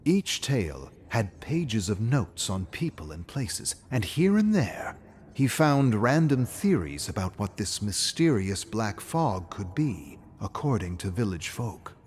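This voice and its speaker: A man narrating a scripted fairy tale as a storyteller, with a dramatic, measured delivery. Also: on the low side, very animated, darkish tone, slight background noise.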